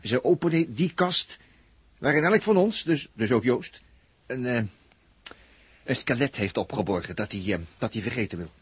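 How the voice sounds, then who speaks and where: An excitable spaceship crewman, with a very husky voice in a dry, close sound. He broods and stammers as he searches for words, acted in 1960s radio-drama style. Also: medium pitch, moderate pace, no echo. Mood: urgent, explaining.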